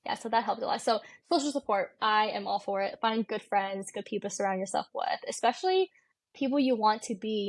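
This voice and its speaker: A woman's voice: medium pitch, dry recording. A woman in her twenties with an American accent, talking informally and candidly as a guest in a casual interview. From California, United States. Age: 20s. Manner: informal, candid, peppers speech with "like". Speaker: podcast guest, medical student.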